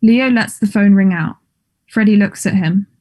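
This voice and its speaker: Mellow woman reading stage directions. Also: mid-range voice, moderate pace. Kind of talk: scripted narration.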